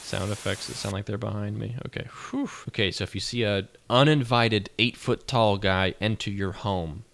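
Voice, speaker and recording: A man's voice: fairly low pitch, normal speed, creaky, a bit bright. A man hosting a reaction video, giving casual commentary in a wry, colloquial way. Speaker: host, reaction video creator.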